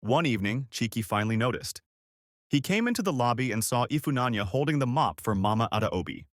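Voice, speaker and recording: A man reading a scripted, dramatic story in a measured storytelling manner. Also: medium pitch, animated, no echo, studio quality. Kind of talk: scripted narration of a dramatic folktale-style story.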